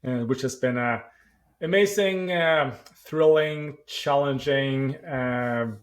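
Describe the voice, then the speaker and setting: A man in his forties from Norway with a Norwegian accent, a founder answering podcast interview questions thoughtfully, often pausing with "uh" and restarting phrases. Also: mid-range voice. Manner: thoughtful, with frequent "uh" pauses and restarts. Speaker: startup founder.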